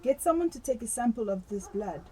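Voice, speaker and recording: A woman's voice: fairly high pitch, lively intonation, brisk, mellow, breathy edge. A woman acting a matter-of-fact police detective who takes charge, in a radio drama. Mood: businesslike. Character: businesslike female police detective.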